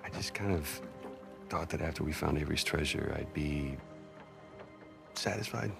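A man's voice: extremely low pitch, moderate pace, vocal fry, full character voice. An American man playing a roguish, wry, middle-aged adventurer and ex-convict in a video game, with a teasing, casual manner. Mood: subdued, reflective. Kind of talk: video game cutscene acting. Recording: music under the voice.

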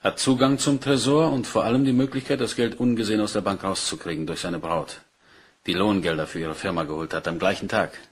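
A man's voice: on the low side, steady tone, a little fast, husky. A man acting a dutiful, matter-of-fact police inspector in a German crime radio play, polite and orderly in his delivery. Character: dutiful, matter-of-fact male Scotland Yard detective inspector.

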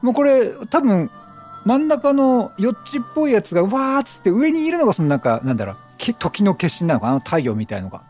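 A man's voice: high voice, sing-song, quick pace, a little mellow, faint music behind. A man giving casual let's play commentary, chatty and reacting aloud, questioning and repeating lines. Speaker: gaming streamer.

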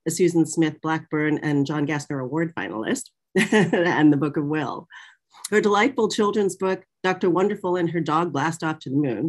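A middle-aged woman moderating an online talk from a small office, in a conversational, animated manner. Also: low-pitched. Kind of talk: prepared introduction and conversational interview. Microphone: video call.

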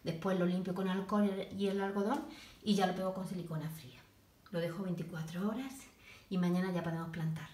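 A woman's voice: on the low side, steady tone. Woman narrating a hands-on DIY project. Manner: halting, talks while working, frequent restarts and soft asides. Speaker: DIY video maker. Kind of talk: step-by-step craft demonstration. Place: home kitchen, indoors. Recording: echoey room with background noise.

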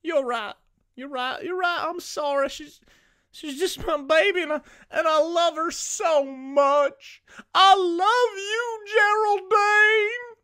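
A woman's voice: extremely high pitch, slow, bright, forceful tone, exaggerated voice. A woman playing a doting stage mother in a comedy sketch, effusive and full of pet names for her child. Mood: apologetic.

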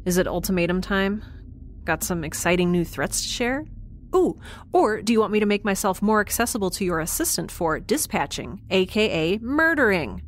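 A woman's voice: mid-range voice, lively intonation, moderate pace, slight background noise, close to an everyday voice. A woman playing a sarcastic, defiant young spaceship dweller in an audio drama, with a flippant, casual delivery.

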